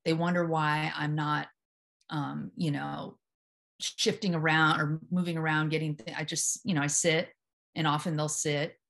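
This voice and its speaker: Woman, low and steady hypnotherapist voice, a touch of fry. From Memphis, US South. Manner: calm, deliberate, steady delivery. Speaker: hypnotherapist at a psych hospital, guest. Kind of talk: casual interview conversation.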